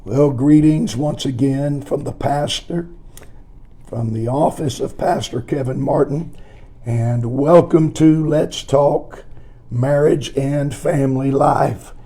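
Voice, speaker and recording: A man with a hoarse, scratchy, weak voice, teaching deliberately as a pastor on a podcast and repeating phrases for emphasis. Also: medium pitch, steady tone, warm tone. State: hoarse, strained from preaching. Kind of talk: slow teaching talk on family life.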